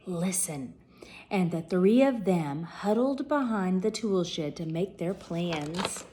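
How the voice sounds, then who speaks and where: A woman P.E. teacher reading a children's storybook aloud, clear and expressive. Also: lowish voice, slow pace, a little hiss or hum.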